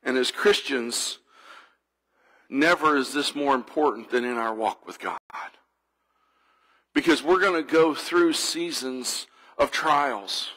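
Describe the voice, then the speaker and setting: A man's voice: mid-range voice, takes it slowly, long pauses, a bit bright. A man preaching a sermon as a church pastor, earnest and reflective, building through repeated phrases. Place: church sanctuary.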